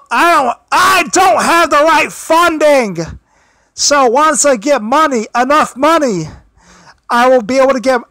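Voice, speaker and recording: Very high-pitched man with a tired, strained voice. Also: no room sound. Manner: apologetic, stumbles and repeats words, pushes voice louder. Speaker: livestream host. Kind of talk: casual wrestling commentary livestream. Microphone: built-in device mic, no external microphone.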